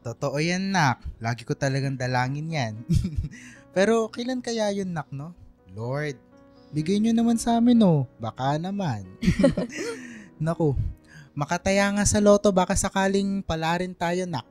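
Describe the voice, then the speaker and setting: A man's voice: high-pitched, lively intonation, a little slow, darkish tone, faint music behind. A man playing a cheerful, doting working-class father in a radio drama, affectionate, joking and a little wistful.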